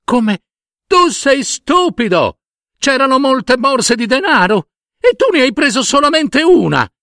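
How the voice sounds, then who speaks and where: A man voicing a bossy, greedy village wife in a folk tale, exclaiming and nagging with drawn-out emphatic words. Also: sits high, sing-song, bright, forceful tone, no room sound, studio quality, voice pushed up, heavily acted. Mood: angry bossy.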